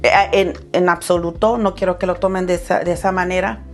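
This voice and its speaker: A woman's voice: fairly low pitch, fairly even intonation, a little fast, faint music behind. A Salvadoran woman in her thirties, hosting her channel and telling her life story in a heartfelt, personal way, calling her viewers "chulis". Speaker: channel host.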